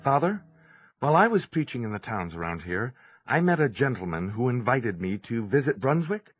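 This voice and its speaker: An actor playing an earnest, devout young preacher in an audio drama, with some narration. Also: male voice, medium pitch, sing-song, normal speed, close to an everyday voice. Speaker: narrator and lead character. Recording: dull lo-fi audio with music.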